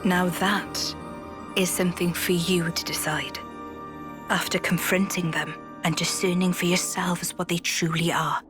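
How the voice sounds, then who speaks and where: A regal video game queen, played by an actress, wise and cryptic. She speaks formally in a measured, solemn voice of medium pitch, over faint music. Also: fairly even intonation, natural voice.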